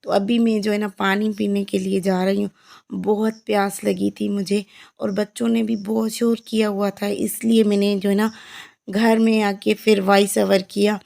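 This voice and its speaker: A woman with a Pakistani accent and a hoarse, heavy voice, narrating her village vlog in a chatty, repetitive way. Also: medium pitch, little pitch movement, moderate pace, dark tone. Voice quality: heavy, croaky voice. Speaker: family vlogger. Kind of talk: casual vlog voice-over.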